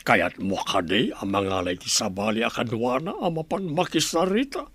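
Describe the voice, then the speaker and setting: A man's voice: mid-range voice, lively intonation, moderate pace, a bit bright, breathy edge, dry recording. An actor playing a middle-aged village father in a radio drama, coaxing and reasoning, with an Ilocano accent. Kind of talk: Filipino radio drama acting.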